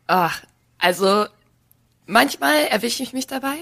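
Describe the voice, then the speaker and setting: A woman's voice: fairly high pitch, expressive, a little slow, gravelly, bright, forceful tone. A young woman, a guest on a casual podcast, talking candidly with a stuffed-up, nasal voice. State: congested, nasal.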